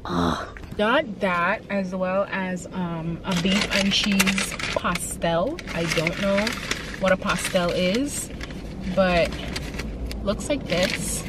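A woman's voice: mid-range voice, slow pace. A woman in her twenties chatting casually to camera from inside a car, with self-mocking asides and lots of fillers and restarts. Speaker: vlogger. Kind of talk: casual vlog chat. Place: inside a parked or moving car. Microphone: camera on the dashboard. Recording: distant mic with loud car noise.

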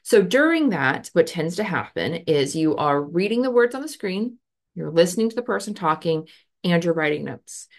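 Woman, thirties, lowish pitch, chatty educator style with fillers, recorded on a video call. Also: expressive, normal speed. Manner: conversational, many fillers, gestures with her hands. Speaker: educator explaining research. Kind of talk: casual explanatory lecture. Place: home office with bookshelf. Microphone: webcam on a video call.

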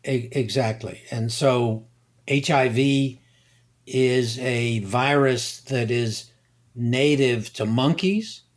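An older man, a guest scientist, explaining slowly and deliberately, pausing and repeating words as he thinks. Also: mid-range voice. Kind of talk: unhurried expert explanation.

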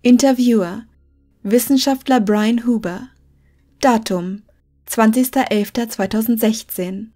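A woman's voice: fairly high pitch, measured pace, dark tone, soft background music. A woman reading scripted clinical logs as a narrator, formal and matter-of-fact.

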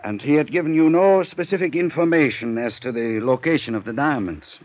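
A man playing a measured, serious European police inspector, formal and deliberate, in a 1950s radio drama. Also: fairly high pitch, a little mellow, no room sound, natural voice. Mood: grave. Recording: muffled old radio recording.

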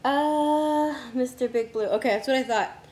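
Hoarse young woman streaming games. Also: high-pitched, a little slow, a little mellow, echoey room. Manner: distracted, talks to herself while navigating menus. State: losing her voice, hoarse. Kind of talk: casual live-stream chat with friends.

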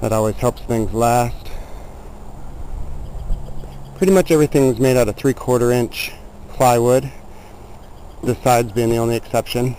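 Guy doing a casual diy walkthrough outside, relaxed dark voice, plain explaining, bit of noise on the camera mic. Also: medium pitch, normal speed, long pauses. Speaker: DIY builder showing a chicken coop. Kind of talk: casual handheld walkthrough narration. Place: outdoors in a yard beside the coop. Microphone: handheld camera mic, speaker behind the camera. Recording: noisy outdoor handheld camera audio.

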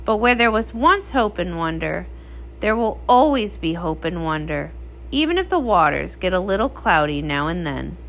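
A woman's voice: on the low side, sing-song, moderate pace, creaky, a bit bright, a little hiss or hum. A woman reading as the narrator of a comic read-along, in a dramatic storybook style.

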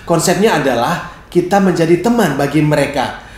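A middle-aged man presenting instructions to camera in an expressive, clearly phrased storyteller's delivery. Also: fairly high pitch, normal speed. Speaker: storyteller and caregiver giving a tutorial. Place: small bright living room, indoors, seated on a sofa. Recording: echoey room.

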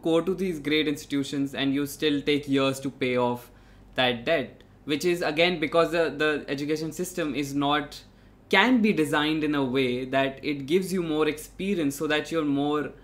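A man in his thirties with an Indian accent, Sindhi his first language, hosting a casual live chat in a relaxed, halting way full of fillers over a headset. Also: on the high side. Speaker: host. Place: studio room with red wall. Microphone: headset on video call.

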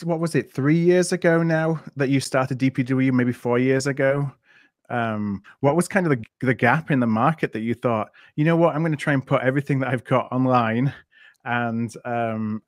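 A man's voice: mid-range voice, moderate pace, no echo, clean studio sound. A man hosting a podcast, interviewing in a conversational style.

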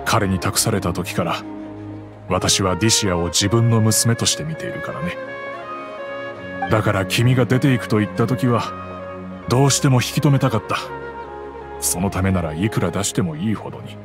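An actor playing a gentle, regretful middle-aged merchant father who calmly recounts the past in a game cutscene. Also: on the low side, moderate pace, long pauses, music in the background, close to an everyday voice. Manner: calm, reflective storytelling.

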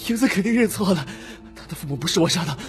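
Casting: male narrator as unstable young man in audiobook fantasy, haunted, frantic self-denial, swinging highish voice over music. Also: animated, very fast. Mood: panicked denial. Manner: muttering to himself, erupting into repeated frantic denials. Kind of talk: audiobook character acting. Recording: dramatic music behind the voice.

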